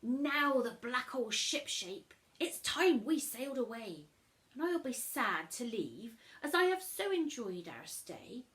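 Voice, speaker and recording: A woman's voice: fairly high pitch, fairly bright tone. A woman voicing a friendly, adventurous young pirate boy in a storybook, with an earnest, sing-song delivery.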